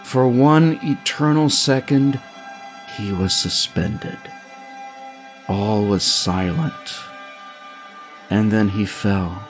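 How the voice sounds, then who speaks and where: A man narrating a scripted comic story in an animated, dramatic storytelling manner. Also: on the low side, very slow, long pauses, soft background music. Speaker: narrator and writer of a comedy story podcast.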